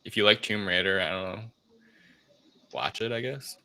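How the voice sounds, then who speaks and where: A man with a fairly low but bright, forward voice takes part in a casual review discussion as one of the panelists. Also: moderate pace. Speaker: podcast panelist. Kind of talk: casual movie review chat.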